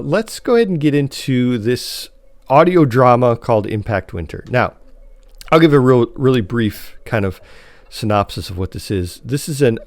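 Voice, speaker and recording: A man's voice: medium pitch, no room sound. A man co-hosting a podcast, chatting casually through a review in a hesitant, rambling, conversational way. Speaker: podcast co-host reviewing post-apocalyptic media.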